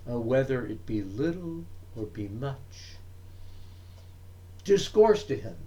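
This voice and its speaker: Male poetry reader, slightly high-pitched, very slow delivery, emphatic phrasing broken by frequent pauses. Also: darkish tone, rough recording. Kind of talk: dramatic poetry recitation.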